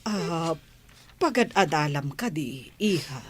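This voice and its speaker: A woman playing a kindly, concerned lady with a husky voice, asking questions in a motherly way in an Ilocano radio drama. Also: sits low, lively intonation, slow pace, heavily acted. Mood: gentle concern. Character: kindly, concerned adult woman helping a runaway girl.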